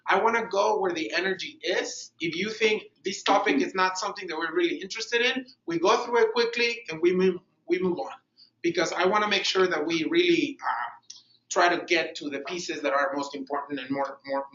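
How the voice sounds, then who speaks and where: A middle-aged man from Mexico with a Mexican accent, leading a workshop in an animated way and restarting his sentences as he thinks aloud. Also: high voice, moderate pace. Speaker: workshop facilitator. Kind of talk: workshop presentation. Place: meeting room. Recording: echoey room, dull lo-fi sound.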